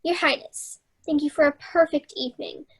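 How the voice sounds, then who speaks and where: Casting: earnest, dreamy young fairy-tale girl played by a woman, very high youthful voice, children's theater. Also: steady tone, normal speed, rough recording. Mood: bored, earnest. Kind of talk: children's theater staged reading.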